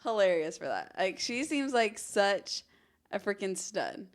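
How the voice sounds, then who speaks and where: A woman's voice: medium pitch, sing-song, a little slow. A woman hosting a true crime podcast, telling the story dramatically with casual conversational asides.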